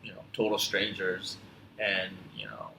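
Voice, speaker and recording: A man from Tanzania who grew up in upstate New York, talking casually and reflectively as a podcast guest, often halting and repeating phrases. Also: sits low, sing-song, bright, forceful tone. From born in Tanzania, grew up in upstate New York. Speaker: podcast guest, physical therapist. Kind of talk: casual conversational storytelling. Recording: roomy echo, clear background noise.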